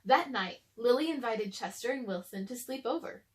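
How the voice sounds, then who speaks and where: A woman reads a children's storybook aloud as narrator. Her voice is fairly high, and she delivers it as read-aloud narration for young listeners. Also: expressive, normal speed. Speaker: storybook reader.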